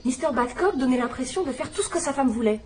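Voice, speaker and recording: A fussy, condescending female companion who talks down in a gossipy, motherly manner. Her voice sits a little high, with a faint hiss behind. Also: animated, fast, darkish tone. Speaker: companion and housekeeper. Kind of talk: dubbed drama acting.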